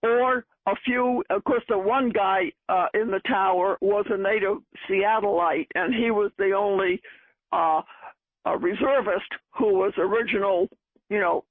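A woman's voice: medium pitch, measured pace, breathy edge, no echo. A woman in her eighties from Boston, chatty, telling a story from her past and laughing at herself in an oral history recording. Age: 80s. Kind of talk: storytelling recollection. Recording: tinny phone-like archive recording.

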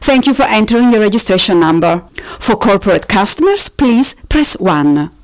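A woman voicing a politely officious automated phone-menu system, reading scripted options formally with drawn-out, sing-song emphasis. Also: mid-range voice, lively intonation, background noise, lightly acted. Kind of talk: recorded phone-menu announcement, scripted parody.

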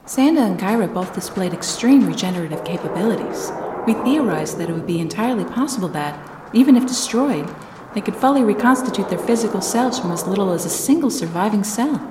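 A woman's voice: medium pitch, expressive, a little fast, natural voice. Woman as reverberant, earnest scientist. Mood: earnest, insistent. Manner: earnest, explanatory. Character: earnest female monster scientist. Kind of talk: audio drama acting. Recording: reverberant, echoey, noisy.

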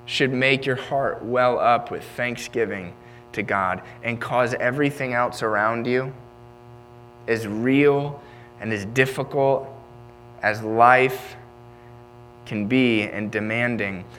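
A young man in his twenties delivers a sermon with earnest conviction. He keeps it conversational, circling back and restarting phrases as he talks, and his voice sits at an ordinary middle pitch. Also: a bit bright, low-quality recording. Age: 20s. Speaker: preacher.

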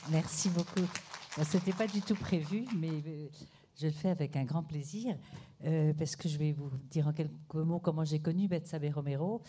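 Dark-voiced older woman introducing a guest. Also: deep voice, slight background noise. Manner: hesitant, many pauses and fillers. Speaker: curator introducing a speaker. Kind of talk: spontaneous introductory speech.

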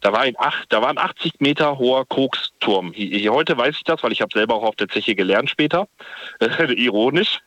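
A man's voice: mid-range voice, expressive, fairly quick, a bit bright, dry recording. Caller, man from the Ruhr, rambling storyteller, dry phone sound. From Ruhr area, Germany. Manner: chatty, rambling, self-correcting. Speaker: radio caller. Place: parked car.

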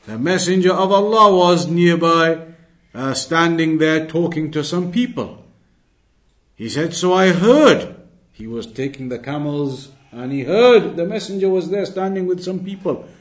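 A man's voice: fairly high pitch, lively intonation, unhurried. A man giving an Islamic lesson as a religious teacher, reciting and explaining narrations emphatically, often repeating phrases for clarity.

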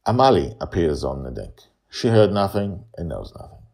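A male author-host tells his fantasy serial in a low, creaky voice. His delivery is theatrical and very animated, with emphatic phrasing and dramatic pauses. The tone is husky and gravelly. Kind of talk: dramatic storytelling narration.

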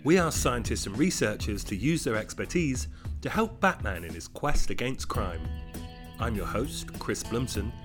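A man's voice: mid-range voice, lively intonation, moderate pace, music in the background. A man hosting a podcast, reading an upbeat intro and asking curious follow-up questions. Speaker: podcast host. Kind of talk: scripted intro and casual interview questions.

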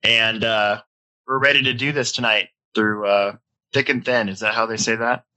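A man's voice: lowish voice, steady tone, normal speed, pushed and bright, poor audio. A man in his forties hosting a casual hobby podcast from home, a bit stuffy-nosed, talking in a loose, rambling way. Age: 40s. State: stuffy nose. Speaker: podcast host. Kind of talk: casual chat.

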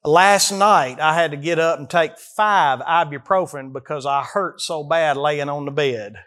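High-pitched Southern man preaching. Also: unhurried, fairly bright tone. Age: early 40s. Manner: folksy, emphatic, rhetorical questions to listeners. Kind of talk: expository sermon, reading verses and explaining them.

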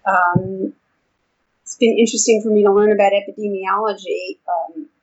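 An older woman with a New York accent, explaining her research in a relaxed interview with frequent ums. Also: medium pitch, breathy edge. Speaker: vestibular researcher and therapist. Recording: dull lo-fi audio.

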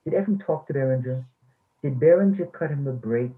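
A man's voice: mid-range voice, lively intonation, a little fast, lightly acted. A man reading the part of a formal, defensive former English instructor in a play. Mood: wistful, regretful. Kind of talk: readers theatre play reading. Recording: thin, phone-like sound.

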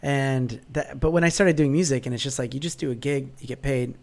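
A man with a raspy voice from a cold, chatting as a vlogger and musician in a loose, joking interview. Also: medium pitch, a little fast. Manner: relaxed, rambling, self-mocking humor. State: hoarse from a cold. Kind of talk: casual interview chat. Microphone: remote call.

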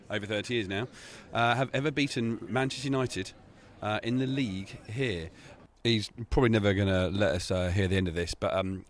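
A man hosting a casual football podcast outdoors, jokey and halting with fillers. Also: medium pitch. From England. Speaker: podcast host. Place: outdoors near a stadium.

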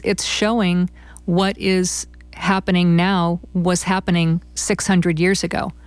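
A woman with a slightly low voice answers interview questions in a conversational way. She speaks as a member of a small theater company. The sound is dry, with little room around it. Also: no echo.

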